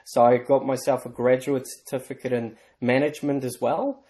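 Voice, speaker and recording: A man talks as an interview guest, in a warm, mellow tone of middle pitch. He tells his story in a measured way and pokes gentle fun at himself. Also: level tone. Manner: measured, storytelling, light self-deprecating humor. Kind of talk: conversational interview.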